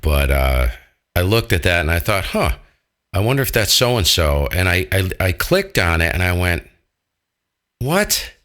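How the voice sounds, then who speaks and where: A man's voice: low voice, very animated, unhurried, a bit bright. An American man chatting casually as an amateur radio operator, colloquial and jokey in manner.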